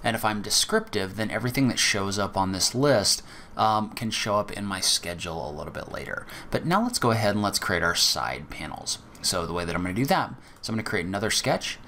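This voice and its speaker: A man with a very low voice talks through a software tutorial one step at a time. His delivery is methodical and casual, with the odd filler. Faint keyboard typing sits in the background. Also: expressive, fairly quick, a little hiss or hum. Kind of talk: screen-recorded software walkthrough.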